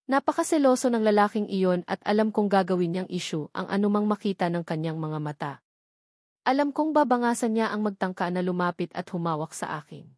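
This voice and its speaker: A woman's voice: mid-range voice, a little mellow, no room sound. Woman narrating a novel, dry close sound. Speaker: audiobook narrator. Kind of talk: scripted first-person novel narration with acted dialogue.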